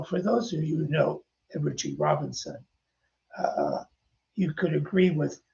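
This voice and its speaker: Gravelly older man telling anecdotes. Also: on the high side, warm tone, lo-fi sound. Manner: anecdotal, chatty, addresses listeners directly. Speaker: podcast host and author. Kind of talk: casual storytelling narration.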